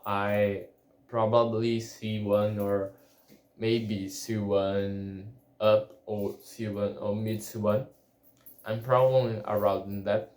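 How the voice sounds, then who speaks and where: Slow-talking Portuguese-native man, echoey room. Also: lowish voice, level tone, a little mellow. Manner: halting, many restarts and fillers. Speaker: language-learning vlogger. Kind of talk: casual solo vlog.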